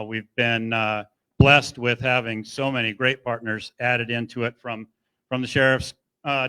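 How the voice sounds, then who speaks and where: Man, clear bright voice, flat delivery, forest official addressing residents. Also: mid-range voice. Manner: appreciative, loose, rambling. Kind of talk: public briefing at community meeting. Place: community meeting room.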